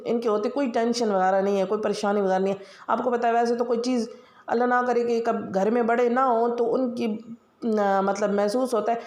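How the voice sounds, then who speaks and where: A woman's voice: mid-range voice, a little mellow, echoey room. A woman from Pakistan with a Pakistani Punjabi accent, chatting casually about her household routine as a vlogger, her throat sore and scratchy. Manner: chatty, rambling, many fillers. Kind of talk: casual daily-routine voice-over.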